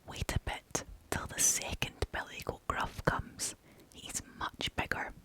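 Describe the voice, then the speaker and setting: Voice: a little slow, pushed and bright. A British storyteller voicing a tiny, timid young male billy goat from a storybook in a small, pleading whisper.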